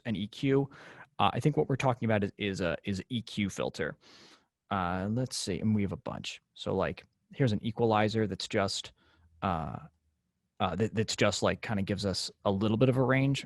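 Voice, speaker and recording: A man with a gravelly edge to his voice walks a class through software over a video call. His talk is casual and full of fillers. The sound is dry and close. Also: fairly low pitch, dry recording. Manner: casual, filler-heavy explaining. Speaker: class instructor.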